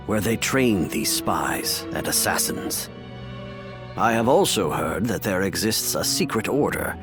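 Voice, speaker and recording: An actor playing a grave, remorseful imperial official and adoptive father in a video game, with measured, formal delivery. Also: lowish voice. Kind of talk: video game cutscene acting.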